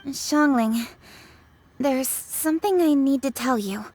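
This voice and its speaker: A woman's voice: high voice, slow, a little mellow, a little hiss or hum. A woman voicing a dutiful, earnest young noblewoman official in a video game, with stiff, precise, formal diction. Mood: earnest, hesitant.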